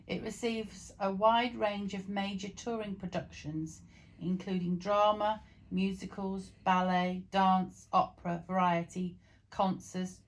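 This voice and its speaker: A woman's voice: on the low side, creaky, low-quality recording. A woman reading printed text aloud in an informal, amateur storytelling way, now and then tripping over words. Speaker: vlog co-presenter reading aloud.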